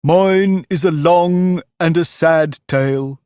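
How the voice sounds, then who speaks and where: A man's voice: fairly high pitch, slow, a little mellow, smooth, no room sound, clean studio sound, voice pushed up. A man voicing a touchy, self-pitying mouse in a storybook, with a severe, indignant manner. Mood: sorrowful. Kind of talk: storybook character voice.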